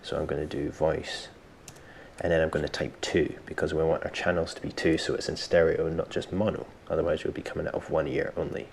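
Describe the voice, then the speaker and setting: A man presenting a software tutorial step by step, informally thinking aloud as he explains. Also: a bass voice, flat delivery, brisk, creaky, a little hiss or hum. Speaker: tutorial host.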